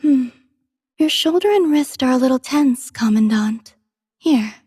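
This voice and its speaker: Gentle, cultured young game heroine: devoted, soft-spoken, slightly high voice, composed delivery, dry studio sound. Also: female voice, animated, unhurried, husky, no echo, heavily acted. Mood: composed, instructive. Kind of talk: scripted game character voice lines.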